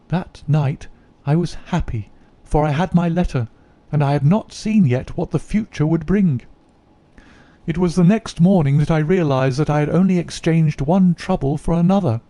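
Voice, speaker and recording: Male reader as guilty young noblewoman, earnest, confessional, mellow tone. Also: fairly high pitch, no room sound, pitched above the performer's own voice, close to an everyday voice.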